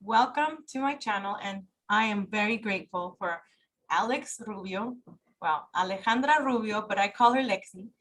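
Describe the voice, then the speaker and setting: A woman's voice: mid-range voice, moderate pace, fairly bright tone, rough recording. Chatty woman interview host. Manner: chatty, rambling questions. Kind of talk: casual interview.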